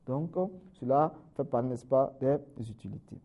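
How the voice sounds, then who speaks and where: A man preaching a sermon in French, constantly adding "n'est-ce pas" and swinging between raised, emphatic phrases and low, trailing endings. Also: normal speed, narrow, tinny audio. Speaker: Islamic preacher.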